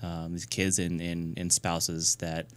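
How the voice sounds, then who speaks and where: Man, 30s, from New Hampshire. Low, smooth voice; earnest interview guest who restarts often. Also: completely flat delivery. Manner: earnest, halting, many fillers and restarts. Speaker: guest, nonprofit founder. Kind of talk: casual radio interview.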